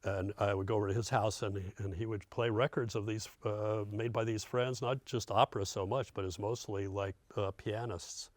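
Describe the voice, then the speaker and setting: Older American man, low voice, dry wit. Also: fairly even intonation, normal speed, few pauses, no echo. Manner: dry, self-deprecating humour. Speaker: veteran public radio host. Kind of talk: casual reminiscing conversation. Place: radio studio.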